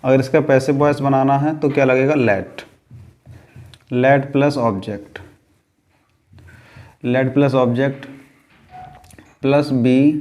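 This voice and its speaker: Slow-paced Indian man teaching grammar. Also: mid-range voice, a little mellow. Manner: didactic, repeats phrases and asks himself questions then answers. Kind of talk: classroom grammar lesson, explaining step by step.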